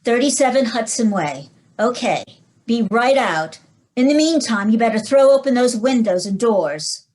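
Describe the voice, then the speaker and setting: A woman playing a brisk, dry-witted male police inspector in a 1940s-style radio mystery. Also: fairly high pitch, expressive, a little mellow, rough recording. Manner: brisk, dry, matter-of-fact.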